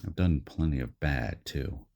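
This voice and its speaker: A man playing a gruff, impatient character with a gravelly voice in an audio drama. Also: extremely low pitch, measured pace, darkish tone, heavily acted. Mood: grim.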